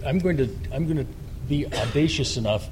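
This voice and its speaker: A man's voice: mid-range voice, fairly quick, noisy background. A man in the audience politely asking a question, carefully summarizing the speaker's argument at a microphone. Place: lecture room.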